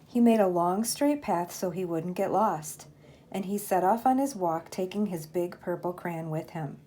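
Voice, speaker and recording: An older woman, a grandmother, reading a children's storybook aloud in an expressive, measured storytelling manner. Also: lowish voice, slight background noise.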